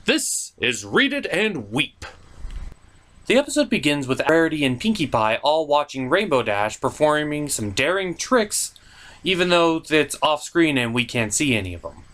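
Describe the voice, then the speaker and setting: A man's voice: a little high, big pitch swings, moderate pace, fairly bright tone. A man hosting a puppet review show, narrating a scripted comedic cartoon review in a theatrical, mock-exasperated manner.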